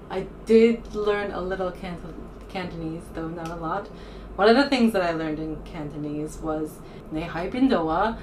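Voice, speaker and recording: A woman from the United States talking casually as a vlogger, rambling informally and hesitantly as she thinks aloud. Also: fairly low pitch. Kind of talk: casual rambling vlog talk to camera. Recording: distant mic with faint room noise.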